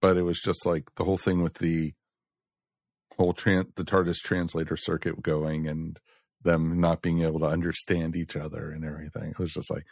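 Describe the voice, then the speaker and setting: A man with a deep voice, captured very dry and close. He chats casually as one of a podcast review panel, sharing opinions in an informal way. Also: little pitch movement, moderate pace, vocal fry, a little mellow, no echo. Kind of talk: casual chat.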